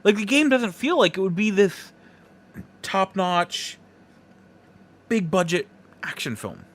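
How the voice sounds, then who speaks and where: A man with a slightly high-pitched voice talks casually as a solo podcast host. His delivery is slow and halting, broken into short phrases, with emphatic stresses on key words. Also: lively intonation, a bit bright. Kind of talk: casual solo opinion talk.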